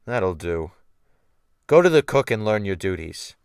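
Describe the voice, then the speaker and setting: A man's voice: on the low side, very animated, unhurried, creaky, smooth, no echo, clean studio sound, pitched below the performer's own voice, heavily acted. A man performing a cruel, domineering sea captain who barks orders and mocks, in an audiobook drama. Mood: curt, commanding. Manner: barking orders, domineering, mocking. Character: cruel, commanding sea captain, cold and brutal.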